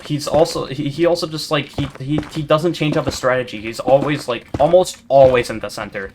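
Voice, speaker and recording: A man giving rambling, monotone commentary over gameplay, full of fillers and restarts, as a gaming video creator. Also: mid-range voice, fairly quick. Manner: rambling, self-described yapper with many fillers and restarts. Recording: steady background noise.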